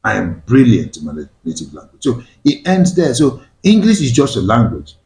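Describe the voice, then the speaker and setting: A man's voice: medium pitch, big pitch swings, moderate pace, gravelly, darkish tone. A man whose first language is Igbo, hosting a panel discussion and asking his guests pointed questions with emphasis. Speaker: host. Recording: echoey room.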